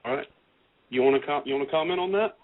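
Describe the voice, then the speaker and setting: A man hosting a podcast, talking casually with halting, stammering starts. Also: fairly high pitch. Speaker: podcast host. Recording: tinny phone-like sound.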